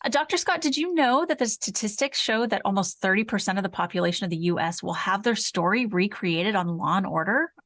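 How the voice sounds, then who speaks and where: A woman's voice: medium pitch, expressive, fairly quick, few pauses, a bit bright. A woman co-hosting a true-crime podcast, talking casually and conversationally. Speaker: podcast co-host.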